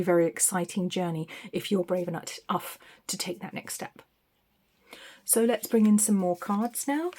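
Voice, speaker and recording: A woman giving an intuitive tarot reading to camera, meandering and reflective, with frequent ums and soft restarts, recorded on a phone. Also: medium pitch. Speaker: tarot reader.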